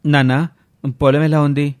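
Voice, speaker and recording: A man's voice: fairly high pitch, little pitch movement, measured pace, smooth, lightly acted. A man playing a dutiful, questioning young student son, earnest and respectful, in an audio story. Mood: concerned. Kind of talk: scripted audio story dialogue.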